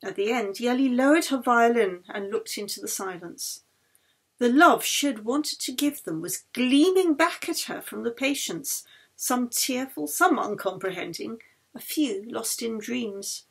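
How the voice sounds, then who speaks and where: Clear-voiced woman narrator, slightly high pitch. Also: measured pace. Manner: expressive storytelling, acts out the dialogue. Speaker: author reading own novel aloud. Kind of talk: scripted narration, audiobook reading.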